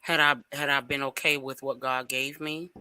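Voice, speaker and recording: A woman on a casual talk show who tells stories in an animated way, acting out quotes and dropping into whispers. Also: rumbling low, normal speed, clear, resonant tone. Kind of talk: casual chat.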